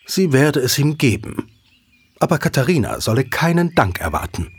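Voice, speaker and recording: A man's voice: mid-range voice, very animated, moderate pace, slightly rough. A man narrating a scripted audio drama as a storyteller.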